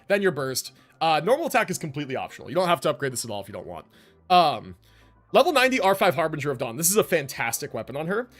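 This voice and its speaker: A man's voice: fairly high pitch, a bit bright. A man doing casual livestream commentary on a game, explaining conversationally and often checking in with the listener.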